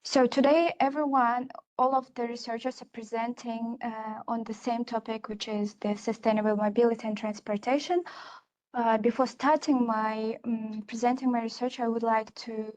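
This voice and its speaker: A woman's voice: on the high side, level tone, normal speed, darkish tone. A young woman gives an academic conference talk on her research, speaking hesitantly with many fillers and restarts. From Kazakhstan. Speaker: research assistant presenting her research.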